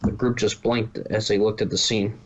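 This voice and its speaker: A man's voice: lowish voice, flat delivery, moderate pace, highs cut off. A man reading a story aloud as narrator, now and then stumbling and correcting himself. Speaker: narrator, fan fiction reader.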